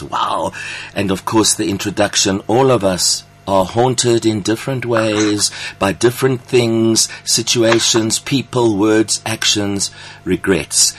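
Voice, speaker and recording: An effusive man presenting a radio interview. His voice sits a little low and has a slightly husky grain. He introduces his guests with generous compliments. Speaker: radio host.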